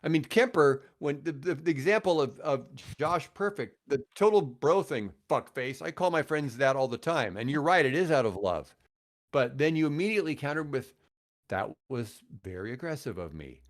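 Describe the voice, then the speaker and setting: A middle-aged or older man in casual conversation as a podcast guest, reflective and rambling, often pausing mid-thought. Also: on the high side, animated. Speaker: podcast guest, radio host. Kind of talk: casual chat.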